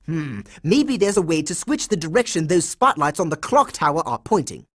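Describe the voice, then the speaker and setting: A man's voice: fairly high pitch, sing-song, normal speed, fairly bright tone. Cartoon game kid hero, bossy boy agent, smug and dramatic, voice swings all over the place. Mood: determined, musing. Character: bossy, heroic boy secret agent, confident kid leader. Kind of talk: cartoon video game voice acting.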